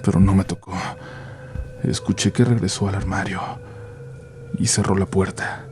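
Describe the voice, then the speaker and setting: A man narrating a first-person horror story in a hushed, measured way, sometimes dropping to a near-whisper. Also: deep voice, little pitch movement, background noise.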